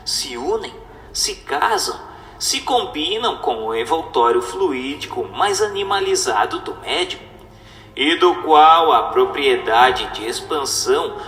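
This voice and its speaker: A man playing a solemn spirit teacher in a radio drama, lecturing humankind in grave, oratorical, deliberate phrases. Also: fairly high pitch, bright, forceful tone, full character voice. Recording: echoey hall reverb, music behind.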